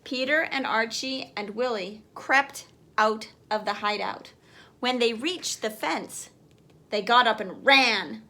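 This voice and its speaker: Bright-voiced woman reading a picture book aloud. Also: on the high side, a little hiss or hum. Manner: expressive, pausing to question young listeners.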